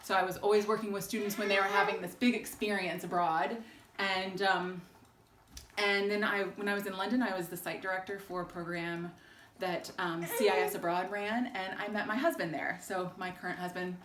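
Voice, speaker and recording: A woman in her forties from Texas chats to camera, filmed by her husband in an old kitchen. She rambles warmly with ums and small laughs. The room rings with echo, and a faint hiss sits under her voice. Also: mid-range voice, steady tone, normal speed. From Texas, United States. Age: 40s. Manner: chatty and warm, with frequent ums and laughs. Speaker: alumna sharing her story. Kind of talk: casual home video chat. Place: home kitchen in an old English house. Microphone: camera held by her husband.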